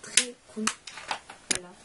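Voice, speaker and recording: Teen girl vlogger with a cold, chatty and self-deprecating, recorded from across the room over a steady hiss. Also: slow, recorded from a distance. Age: teens. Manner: rambling, self-mocking, chatty. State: has a cold, coughing. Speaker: channel host. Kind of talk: casual vlog Q&A.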